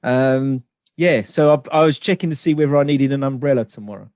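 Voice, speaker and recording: A man's voice: medium pitch, a little fast, a little mellow, no echo. A man hosting a podcast interview from home over a video call, friendly and chatty with loose phrasing. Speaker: podcast host. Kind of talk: casual interview chat. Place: home in London. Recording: dry close sound.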